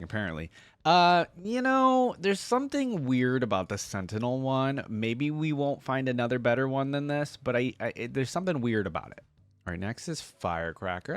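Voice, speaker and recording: A man's voice: mid-range voice, dry recording. A man giving casual reaction commentary as a video host, thinking aloud in informal, slangy language.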